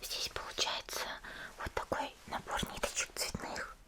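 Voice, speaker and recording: A woman playing a cheerful, caring young female demon slayer in a soft, chatty whispered ASMR roleplay. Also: unhurried, natural voice. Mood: gentle, chatty. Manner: gentle, chatty, hesitant little murmurs.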